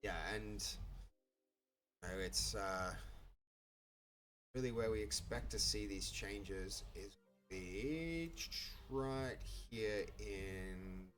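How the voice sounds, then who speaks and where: A man's voice: lowish voice, extremely slow, pauses often, a bit bright, faint music behind. A man with a Dutch accent, a native Dutch speaker, thinking aloud as he codes live on stream, hesitant and full of restarts. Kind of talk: live coding commentary.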